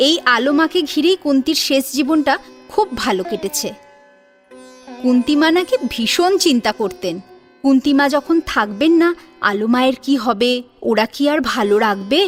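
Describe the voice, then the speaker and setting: A high-pitched female storyteller. She reads a scripted tale with expression, in the manner of audio drama narration. Gentle music plays behind her voice. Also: animated, moderate pace. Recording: music under the voice.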